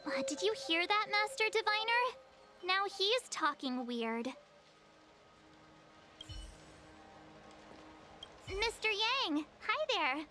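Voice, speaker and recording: A woman voicing a perky, expressive teenage girl adventurer in a video game, bubbly and exclamatory. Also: extremely high pitch, unhurried. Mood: playful, curious. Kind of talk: animated game voice acting. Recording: loud game music behind.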